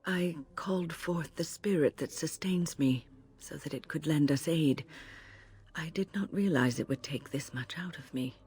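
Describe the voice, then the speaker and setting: Husky, weary older healer. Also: female voice, low-pitched, measured pace, darkish tone, exaggerated voice. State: drained. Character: weary, gentle, wry older female spirit healer. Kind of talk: video game acting.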